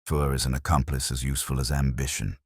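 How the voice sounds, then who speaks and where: A man voicing a dry, unhurried police inspector in a detective story, speaking in measured, gently ironic aphorisms. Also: a bass voice, flat delivery, husky, no echo. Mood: measured, explanatory.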